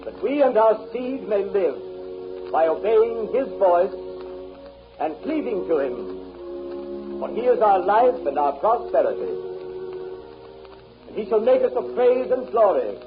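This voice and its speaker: A man's voice: sits high, big pitch swings, measured pace, pauses often. A man acting a fervent Puritan leader, giving a solemn, oratorical sermon in a 1930s radio drama. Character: fervent Puritan leader exhorting his departing flock. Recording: muffled 1930s radio recording. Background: music.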